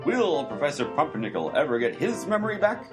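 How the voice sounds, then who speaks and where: A man's voice: fairly high pitch, sing-song, fairly quick. A man playing a mock-serious, testy announcer narrating cliffhanger questions in a comedy radio serial. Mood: mock-dramatic. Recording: loud guitar music behind the voice.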